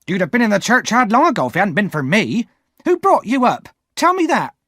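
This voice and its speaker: A man's voice: high voice, big pitch swings, fairly quick, fairly bright tone, no room sound, studio quality, pitched above the performer's own voice, full character voice. A man voicing a bad-tempered older woman who scolds sharply and impatiently. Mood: scolding.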